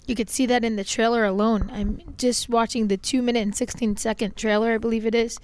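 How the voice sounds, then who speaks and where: High-voiced enthusiastic woman co-host. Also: little pitch movement, a little fast, a little mellow. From North Jersey, United States. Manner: enthusiastic, reactive. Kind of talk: casual interview chat.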